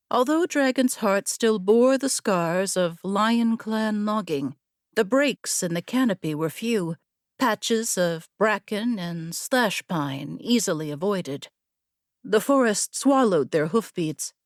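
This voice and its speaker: A woman narrating a scripted fantasy story in a measured, deliberate manner. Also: medium pitch, no room sound, studio quality. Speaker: audiobook narrator.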